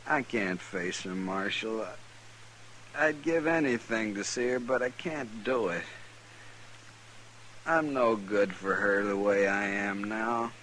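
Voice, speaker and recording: An actor playing a broken, guilt-ridden failed homesteader in an old radio western, halting and full of self-reproach. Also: male voice, lowish voice, fairly bright tone, poor audio. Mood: despairing. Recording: hissy old radio recording.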